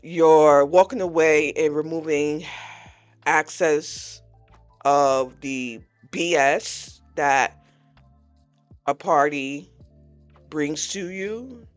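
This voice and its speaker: Slow, blunt forty-something podcast host. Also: medium pitch, soft background music. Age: 40s. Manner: candid, blunt, vents frustration openly. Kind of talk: personal reflective monologue.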